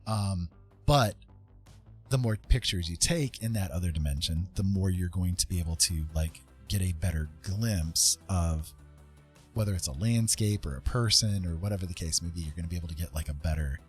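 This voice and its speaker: A man's voice: deep voice, normal speed. A man chatting casually as he streams his painting, rambling and thinking aloud in a self-deprecating way. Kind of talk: casual livestream chat while painting. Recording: music in the background. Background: music.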